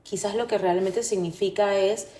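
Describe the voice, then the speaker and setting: A woman with a mellow, warm timbre at a middling pitch speaks as a podcast co-host. Her talk is personal and reflective, feeling for the right words. The recording has a roomy echo. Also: steady tone, moderate pace. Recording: echoey room.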